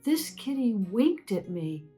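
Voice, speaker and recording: Lady doing an excited little girl from a kids book, grateful, eager, voice pitched up a bit. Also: slow pace, soft background music. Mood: grateful, relieved.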